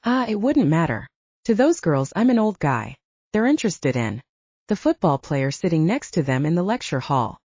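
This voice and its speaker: A woman's voice: on the low side, big pitch swings, moderate pace, a little mellow, husky, no echo, full character voice. A woman voicing an earnest, self-assured male professor who is a werewolf, in audiobook dialogue. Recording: dull, lo-fi audio.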